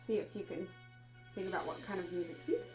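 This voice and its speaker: A woman reading a children's story aloud, dramatically moving between hushed and raised delivery. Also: on the low side, fast, over music. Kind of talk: expressive children's read-aloud. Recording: noticeable background noise.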